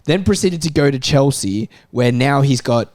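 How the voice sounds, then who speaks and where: Mid-pitched man arguing about football. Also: lively intonation, moderate pace, a little mellow, no room sound, studio quality. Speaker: football panellist. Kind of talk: casual football debate.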